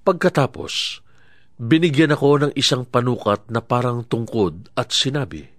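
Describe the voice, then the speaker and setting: A man's voice: medium pitch, sing-song, moderate pace, a bit bright, no echo, clean studio sound. A man playing an earnest prophet recounting his vision in a declamatory scripture narration for an audio drama. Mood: awed, solemn.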